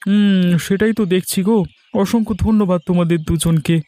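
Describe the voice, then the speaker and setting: A man's voice: sits high, steady tone, brisk, warm tone, no echo, close to an everyday voice. A man voicing a mild, resigned village farmer and husband in a cartoon story, speaking plaintively and conversationally. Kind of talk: Bengali cartoon story voice acting.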